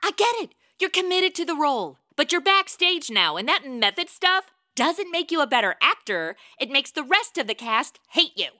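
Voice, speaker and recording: A woman's voice: high-pitched, big pitch swings, moderate pace, few pauses, pushed and bright, exaggerated voice. An actress playing a sarcastic, wisecracking career woman in a comedic radio play, blunt and irreverent. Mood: exasperated, snarky.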